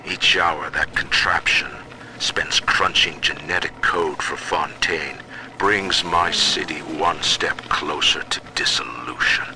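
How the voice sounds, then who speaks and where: A man's voice: extremely low pitch, takes it slowly, noisy background, heavily acted. A man playing a stern, proud middle-aged tycoon who rules his own city in a video game, speaking his audio diaries in pompous, menacing, formal oratory. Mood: suspicious, threatening. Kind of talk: dramatic recorded diary monologue, formal oratory.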